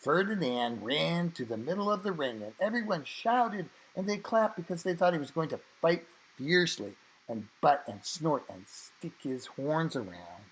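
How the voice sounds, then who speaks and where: Man reading a children's storybook, slightly high-pitched. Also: breathy edge, rough recording. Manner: expressive, with dramatic emphasis and drawn-out phrases. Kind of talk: read-aloud storytelling for children.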